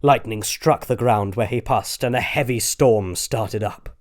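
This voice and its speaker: A man's voice: fairly low pitch, measured pace. A man narrating a scripted adventure story in a dramatic audiobook style.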